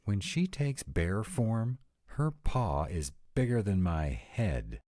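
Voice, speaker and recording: A man's voice: lowish voice, very animated, takes it slowly, mellow, no echo. A man voicing a sarcastic, exasperated vampire in a scripted roleplay audio, talking to his partner with dry, emphatic delivery. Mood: anxious, sardonic. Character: sarcastic male vampire, exasperated, anxious about in-laws.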